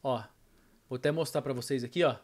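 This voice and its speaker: A man's voice: mid-range voice, fairly even intonation, fairly quick, a little mellow. A middle-aged Brazilian man giving his opinion casually as a vlogger, emphatic and colloquial, speaking straight to his viewers from a room at home. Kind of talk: casual opinion vlog to camera. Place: small home room with arcade machine, indoors.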